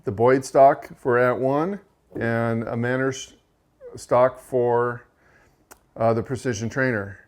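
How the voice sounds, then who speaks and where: Monotone man reviewing rifles. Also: medium pitch, slow. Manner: relaxed, with frequent fillers and self-corrections. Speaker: rifle reviewer and channel host. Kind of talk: casual product review.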